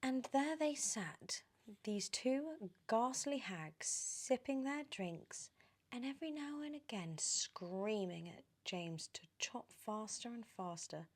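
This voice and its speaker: Very unhurried British woman reading a story. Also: on the high side. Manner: animated, slipping into breathy whispers. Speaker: ASMR storyteller.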